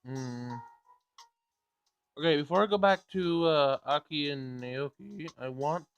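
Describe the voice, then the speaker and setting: A man's voice: on the high side, measured pace, lo-fi sound, faint music behind. A man casually reading a visual novel aloud and commenting as he plays. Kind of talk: casual let's play read-aloud and commentary.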